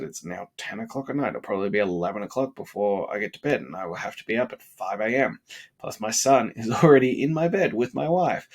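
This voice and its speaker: A congested, tired man in his thirties giving an earnest, rambling motivational talk late at night. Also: lowish voice. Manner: earnest, rambling, many fillers. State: congested and sniffly. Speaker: vlogger.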